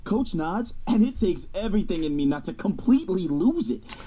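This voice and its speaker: A man's voice: high-pitched, big pitch swings, moderate pace, warm tone, husky, full character voice. An actor playing a proud young college quarterback who narrates his own story in an audio drama. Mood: unsettled. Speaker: narrator and lead character.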